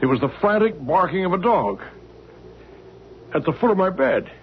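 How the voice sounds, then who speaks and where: A man's voice: sits high, sing-song, moderate pace, a little hiss or hum. An actor playing a polite male professor who tells his story eagerly, in a 1970s radio drama on a thin, narrow-band old radio recording. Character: male professor friend, shaken but polite.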